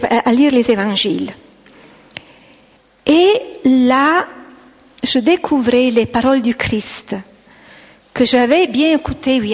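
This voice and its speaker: A middle-aged woman with an Italian accent, giving a gentle, hesitant personal faith testimony to an audience. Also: fairly high pitch, long reverb tail. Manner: gentle, hesitant, with frequent restarts.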